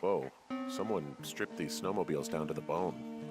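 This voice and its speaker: A man's voice: extremely low pitch, moderate pace. A man playing an easygoing but uneasy fire lookout out hiking, in casual, dryly sarcastic, naturalistic video game dialogue. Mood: curious, wry. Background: background guitar music.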